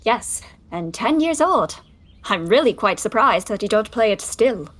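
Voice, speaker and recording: An actress playing an impatient, prim young sister in a 1920s stage comedy, huffy and scolding with clipped retorts. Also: female voice, mid-range voice, sing-song, unhurried, a bit bright. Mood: exasperated. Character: exasperated, prim, impatient sister of a carefree bather.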